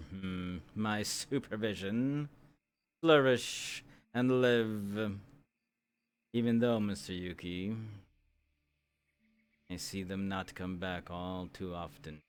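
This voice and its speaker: A man playing a stern, formal fantasy adventurers' supervisor in a roleplay, speaking deliberately with weighty pauses. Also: lowish voice, clean tone. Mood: solemn warning. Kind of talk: improvised fantasy roleplay in character.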